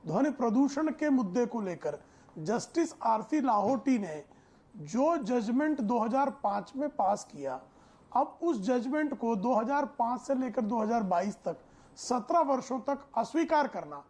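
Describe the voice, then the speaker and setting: Male panelist, high-pitched voice, oratorical and emphatic, formal political debate delivery. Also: big pitch swings, measured pace, darkish tone. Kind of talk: forceful political debate argument.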